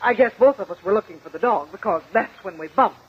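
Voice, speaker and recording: A prim, self-assured woman witness in a 1950s radio courtroom drama. Her voice is very husky and swings widely in pitch as she gives emphatic, defensive answers. The recording is dull vintage radio. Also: lively intonation, quick pace. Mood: earnest, insistent.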